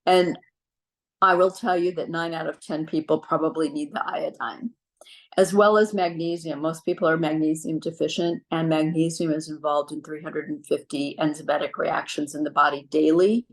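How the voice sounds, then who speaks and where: A middle-aged woman talks through wellness tips in a relaxed, chatty way, pausing on ums. Her voice sits a little low. She is heard over a video call. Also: rough recording. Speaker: wellness speaker. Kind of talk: casual advice talk on a video call.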